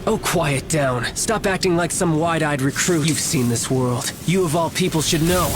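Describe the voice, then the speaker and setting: Man playing a cocky, high-voiced young villain. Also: moderate pace, noisy background, lightly acted. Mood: condescending. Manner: theatrical, mocking, drawling for effect. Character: cocky young male villain, battle-hungry, taunting, smug. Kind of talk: video game voice acting.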